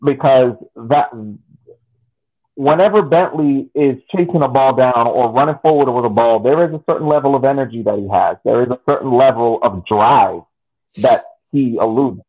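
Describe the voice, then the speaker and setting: A man's voice: mid-range voice, moderate pace. Man on a tinny phone line. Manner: emphatic, repeats himself. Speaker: podcast co-host. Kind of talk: casual sports chat.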